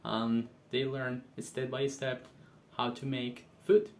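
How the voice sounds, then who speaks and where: A man's voice: medium pitch, flat delivery, slow pace. A man, a teacher, narrating a school video segment.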